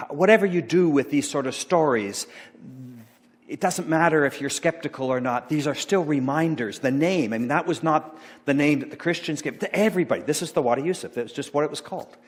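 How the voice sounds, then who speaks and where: A middle-aged man giving an informal sermon, rambling and halting with many fillers and restarts. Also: a little high. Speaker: pastor. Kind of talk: informal sermon talk about slides. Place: church sanctuary. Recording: long church hall reverb.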